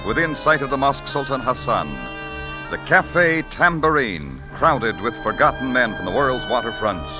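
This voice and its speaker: Casting: male vintage radio announcer, grand and dramatic, mid-pitched, sweeping intonation, music underneath. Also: poor audio. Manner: grand, measured, theatrical. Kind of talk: 1940s-50s dramatic scripted radio narration.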